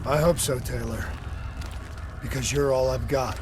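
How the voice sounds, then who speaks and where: An actor playing a shaken, earnest soldier clinging to hope in a video game. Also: male voice, medium pitch, fairly even intonation, a little slow, noisy background, natural voice. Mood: anxious, pleading. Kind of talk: cinematic video game acting.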